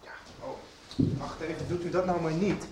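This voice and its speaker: An actor plays a helpful, polite son in a radio drama. He is heard from a distance in a very echoey room with loud noise. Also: on the high side, fairly even intonation, moderate pace, natural voice. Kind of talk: Dutch radio soap acting.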